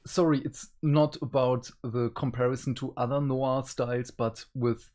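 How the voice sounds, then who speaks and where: A man's voice: mid-range voice, level tone, normal speed, a little mellow. A man hosting a casual review discussion, talking in a conversational way. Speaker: review show host.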